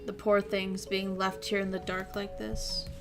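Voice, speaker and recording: A woman's voice: medium pitch, little pitch movement, measured pace, vocal fry, music in the background. Woman doing a cold, menacing teenage girl. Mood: flat. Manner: quiet, mocking menace.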